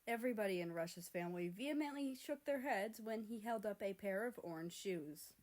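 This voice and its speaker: Young female story reader, average pitch, scripted fiction narration. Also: brisk, darkish tone.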